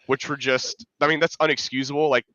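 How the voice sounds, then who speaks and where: Congested guy talking football on a podcast, smooth voice but kinda stuffy, dry slightly muffled sound, lots of "uh" and "i mean". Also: mid-range voice, flat delivery, brisk, pushed and bright, dry recording. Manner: rambling, filler-heavy, restarts often. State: congested from a cold. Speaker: podcast co-host, fan analyst. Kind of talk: casual sports podcast chat.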